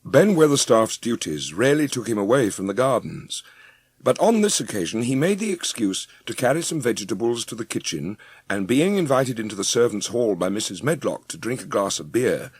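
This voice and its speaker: A man giving an expressive scripted narration of a storybook. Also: mid-range voice, fairly quick, slightly rough, dry recording.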